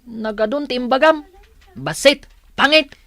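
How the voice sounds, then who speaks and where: A woman as a high-voiced, taunting young schoolmate. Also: unhurried, a bit bright, no echo. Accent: Filipino. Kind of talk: comedy drama acting.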